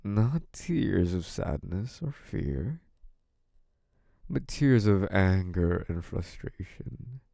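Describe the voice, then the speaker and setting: A man's voice: fairly low pitch, creaky, a little mellow, no room sound, studio quality, full character voice. A man playing a smug, menacing, possessive young mafia boss in an intimate audio roleplay, taunting in a theatrical, mock-sweet way. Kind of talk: scripted audio roleplay, intimate monologue to one listener.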